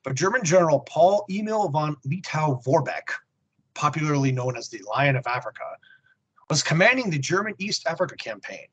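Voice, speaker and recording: A man's voice: fairly high pitch, lively intonation, normal speed, fairly bright tone, breathy edge. A man co-hosting a podcast, reading a prepared report on comics and history with snarky muttered asides. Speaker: podcast co-host. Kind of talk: comic book report read from a script with asides.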